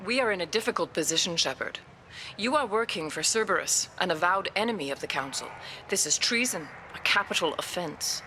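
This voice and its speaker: This is a diplomatic alien councilor in a sci-fi game. Her voice is bright and a little low. She speaks with formal composure. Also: lively intonation, brisk, a little hiss or hum. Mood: stern. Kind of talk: video game dialogue acting.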